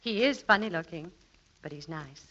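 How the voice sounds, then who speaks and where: Bright, poised radio lady. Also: fairly low pitch, expressive, normal speed. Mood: gentle, amused. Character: poised, gently teasing, genteel lady friend. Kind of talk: 1940s radio comedy acting. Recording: muffled vintage radio recording, faint noise.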